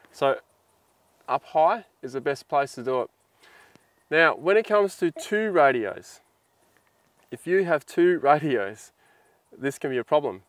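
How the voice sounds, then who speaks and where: Clear-voiced Australian man explaining informally. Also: medium pitch, dry recording. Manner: plain-spoken, colloquial, practical. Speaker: instructional video presenter.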